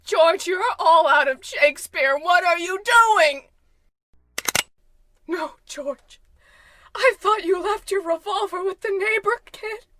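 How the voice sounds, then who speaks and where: A woman's voice: very high voice, slow pace, pauses often, fairly bright tone, full character voice. A woman playing a frightened, pleading wife in a melodramatic old-time radio play. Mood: alarmed, reasoning.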